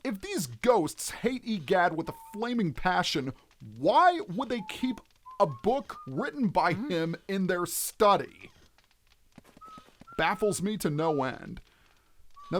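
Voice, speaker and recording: A man's voice: sits high, big pitch swings, unhurried, slight background noise. A man giving video game let's play commentary in a theatrical, explanatory manner as the host. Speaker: let's player, host of the series.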